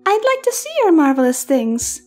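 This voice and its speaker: A woman's voice: high-pitched, big pitch swings, normal speed, a little mellow, faint music behind, voice pushed up. A woman voicing a sad young female pony baker in a horror story, morose and subdued but eager to please. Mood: yielding. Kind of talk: horror fan fiction reading.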